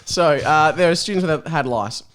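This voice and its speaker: A man's voice: fairly high pitch, normal speed, a bit bright, faint music behind. A man in casual comic chat, reading a story aloud and riffing on it in a jokey, giggly, playfully exaggerated way. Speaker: podcast co-host reading a fan fiction story aloud.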